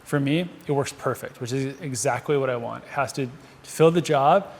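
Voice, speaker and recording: A man's voice: medium pitch, normal speed, creaky, slight background noise. A man talking informally and unscripted as a video producer, walking through a gear review in a conversational, buddy-like way. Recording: long hall reverb of a large space.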